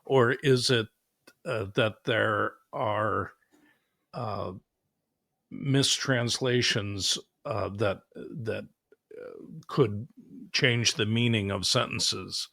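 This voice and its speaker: A man hosting a podcast, asking questions in a halting way with many fillers as he searches for words. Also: lowish voice, steady tone, gravelly, sharp tone, dry recording, clean studio sound. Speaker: podcast host. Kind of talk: interview questions.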